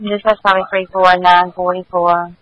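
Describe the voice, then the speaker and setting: A man working as a police dispatcher, speaking in brisk, formulaic radio procedure with a businesslike manner. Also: sits high, little pitch movement, a bit bright, slight background noise, poor audio.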